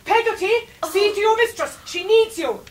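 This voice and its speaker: An eccentric older English aunt for radio. She is brusque and imperious, with a high voice that swings widely. Faint noise sits behind it. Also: expressive, moderate pace. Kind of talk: radio drama acting.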